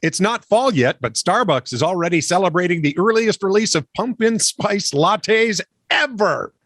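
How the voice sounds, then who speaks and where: A man's voice: high-pitched, lively intonation, moderate pace, a bit bright, no room sound, clean studio sound. A man co-hosting a comedy news podcast, playful and mock-dramatic as he reads the news. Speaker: co-host.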